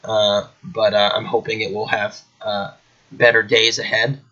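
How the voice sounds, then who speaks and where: A man's voice: lowish voice, level tone, unhurried, pushed and bright, muffled recording. A man with a cold, a little nasal and congested, chatting casually at home as host of his chess channel, rambling with many fillers. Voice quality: slightly nasal. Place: at home indoors.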